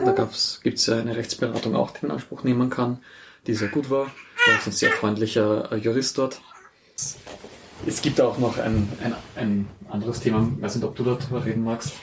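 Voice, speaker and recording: A woman's voice: very deep voice, background noise. A woman talking in a matter-of-fact, casual conversational way in an interview. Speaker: interviewee, parent in a polyamorous family.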